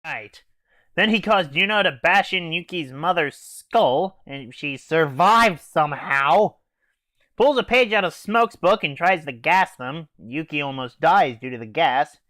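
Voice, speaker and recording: A man's voice: on the high side, animated, unhurried, pushed and bright, no echo. A teenage boy casually recapping an anime episode in an offhand, hesitant way with fillers and swearing. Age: teens. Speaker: anime reviewer.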